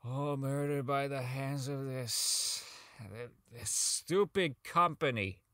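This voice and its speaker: Man acting bright, stammering, unravelling inventor. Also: medium pitch, slow pace, dry recording, studio quality. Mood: bitter, weary. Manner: stammering, rambling, self-loathing, foul-mouthed. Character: socially inept, bitter robot-company owner unravelling toward madness. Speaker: audio drama voice actor. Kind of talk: fan audio drama log monologue.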